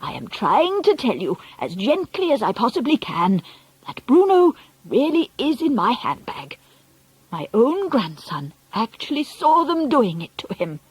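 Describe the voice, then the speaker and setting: Lady doing an old grandma in a kids story, doting but feisty, emphatic, raspy and animated. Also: on the high side, a little slow, no room sound, exaggerated voice, rough recording. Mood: firm insistence.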